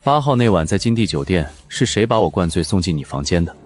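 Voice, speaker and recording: An actor playing a shrewd, composed young hero with a cool, probing manner, in an animated drama. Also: male voice, deep voice, fast talker. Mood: cool, challenging. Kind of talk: audio-drama dialogue for animated story.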